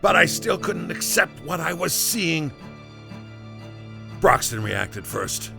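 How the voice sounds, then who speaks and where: Shaken soldier telling his story, real gravelly, swings up and down, kinda halting, radio play thing. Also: fairly high pitch, sing-song, music in the background, heavily acted. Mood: shaken, intense. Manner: halting, conversational storytelling with hesitations. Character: rattled male battalion soldier recounting a disturbing morning. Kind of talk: dramatic first-person monologue in an audio drama.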